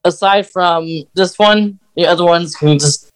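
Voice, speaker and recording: A man's voice: sits high, a little slow, smooth. A man hosting a podcast in a casual, conversational style. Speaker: podcast host. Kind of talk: casual chat.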